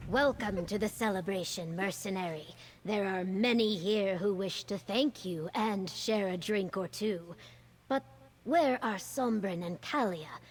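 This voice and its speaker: An actress playing a dignified, commanding female governor in a video game, speaking in formal, measured phrases. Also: medium pitch, lightly acted, rough recording. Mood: gracious, composed. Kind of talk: video game character dialogue.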